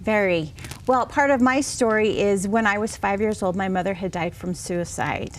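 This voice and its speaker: A woman's voice: mid-range voice, normal speed, a little hiss or hum. A middle-aged woman speaking as an author guest in a TV interview, earnest and reassuring as she tells personal stories. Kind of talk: conversational TV interview, personal storytelling.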